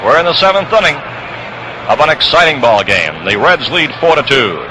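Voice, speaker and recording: A man calling baseball play-by-play for 1960s radio in measured, descriptive phrases. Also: on the high side, very animated. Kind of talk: 1960s radio sports commentary. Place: outdoor ballpark broadcast position. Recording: noisy vintage radio broadcast, ballpark outdoors.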